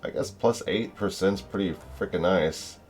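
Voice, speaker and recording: A man's voice: low voice, normal speed, a little mellow, faint music behind. A young man chatting casually and in friendly slang as he livestreams a game, with an easygoing manner. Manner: laid-back, friendly slang. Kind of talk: casual chat while playing.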